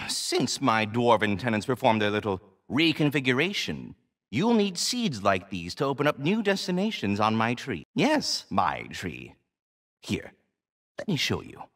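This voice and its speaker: A man's voice: medium pitch, wildly swooping pitch, unhurried, a bit bright, dry recording, clean studio sound, exaggerated voice. A man voicing a polite, erudite giant squirrel, keeper of a world tree, in a video game, with pompous, florid diction. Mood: obliging. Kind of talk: scripted video game character dialogue.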